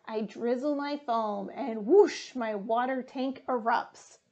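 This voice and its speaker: A young woman reads a picture book aloud for children. Her voice is high and she takes her time, reading deliberately and expressively. The indoor recording sounds slightly dull and lo-fi. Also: a little mellow. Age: young adult. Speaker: firefighter reading a storybook. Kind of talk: children's storybook read-aloud. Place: small room indoors.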